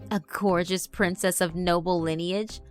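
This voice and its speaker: A woman's voice: on the low side, steady tone, a little slow, soft background music, close to an everyday voice. A woman voicing a pompous, boastful schoolgirl who melodramatically plays a princess in a horror visual novel reading. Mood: haughty, boastful. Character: haughty, bragging, theatrical young schoolgirl playing princess.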